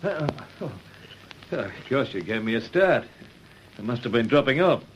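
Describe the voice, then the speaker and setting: An actor playing an easygoing, drowsy small-town taxi driver, casual and friendly in a radio drama. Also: male voice, medium pitch, animated, moderate pace, close to an everyday voice. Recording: dull radio drama recording, faint noise.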